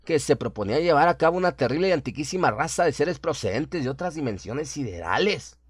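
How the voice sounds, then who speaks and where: A man's voice: on the high side, expressive, fast talker, a little hiss or hum. A man narrating a scripted horror story in a dramatic radio style. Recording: prominent music under the voice. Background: music.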